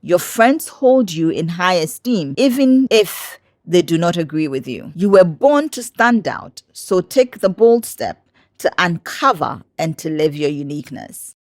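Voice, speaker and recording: A woman's voice: fairly low pitch, sing-song, a little slow, dry recording, clean studio sound. A woman narrating a motivational lesson, speaking encouragingly and directly to the listener.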